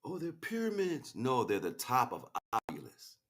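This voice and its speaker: A man delivering an impassioned, improvised spiritual monologue, preachy and grandiose, swinging between hushed asides and loud, emphatic declarations. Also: mid-range voice, wildly swooping pitch, moderate pace. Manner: preachy, grandiose, repeats phrases for weight. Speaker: spiritual speaker, streamer.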